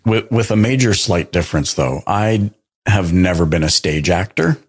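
A man hosting a podcast, upbeat and chatty, with lively jumps in pitch as he introduces and questions his guest. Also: moderate pace, slightly rough. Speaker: podcast host. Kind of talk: casual interview chat.

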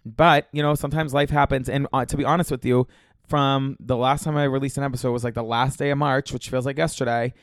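A man's voice: mid-range voice, steady tone, fast talker, no room sound, studio quality. A young man hosting his own podcast alone, talking casually in a chatty, profane, gossipy way, his voice a little congested with a scratchy throat. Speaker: podcast host. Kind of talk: casual solo podcast monologue.

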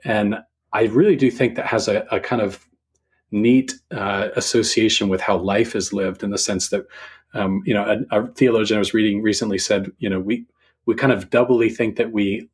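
A middle-aged man speaks as a guest in a reflective, casual conversation. His voice is fairly low and husky, and he talks in a hesitant, thoughtful way, often repeating words as he forms his point. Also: fairly even intonation, moderate pace. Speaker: podcast guest, writer.

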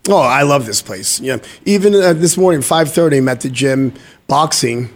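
An upbeat man talking as a guest in a relaxed interview. He is originally from Guyana and drops motivational sayings as he chats, in a medium-pitched voice. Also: moderate pace. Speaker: guest entrepreneur. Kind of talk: casual interview chat.

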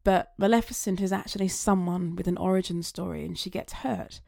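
A woman talking through her thoughts in a chatty, rambling monologue that wanders onto tangents, her voice getting slightly raspy. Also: lowish voice, fairly even intonation, a little fast, mellow, no echo, studio quality. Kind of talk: casual reflective monologue.

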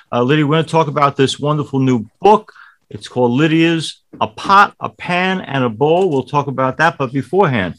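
A priest hosting a television interview show, reading a scripted introduction and then asking easy conversational questions with the odd filler. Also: male voice, medium pitch, a bit bright.

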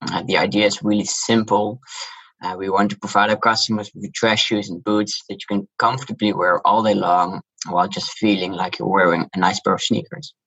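A man with a Dutch accent, founder of a shoe brand, giving measured, businesslike interview answers. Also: on the low side, level tone, a bit bright, husky, poor audio. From Netherlands.

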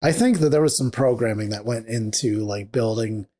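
Mellow-voiced joking podcast co-host. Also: medium pitch, moderate pace. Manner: rambling, joking, many fillers and restarts. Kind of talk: casual book discussion.

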